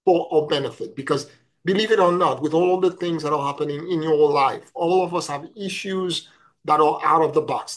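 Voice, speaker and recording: Slow-talking nasal man with a head cold. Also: on the high side, low-quality recording. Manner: slow and deliberate, many fillers and restarts. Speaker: spiritual teacher. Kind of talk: spiritual teaching talk. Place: home room with a virtual background. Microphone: webcam video call.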